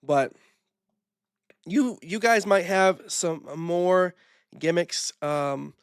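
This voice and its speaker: A man hosts his own podcast alone, rambling through his opinions with fillers and restarts. His voice sits a little high and is hoarse from a recent illness. The recording is close and dry, with no room sound. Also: extremely slow, dry recording. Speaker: podcast host. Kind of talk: solo wrestling opinion podcast.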